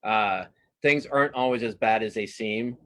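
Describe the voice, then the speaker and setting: A man with a fairly low voice talks casually as a guest in an interview. He speaks as a working cartoonist, circling back on his phrases and repeating words as he goes. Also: a bit bright. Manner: halting, repeats words and restarts phrases often.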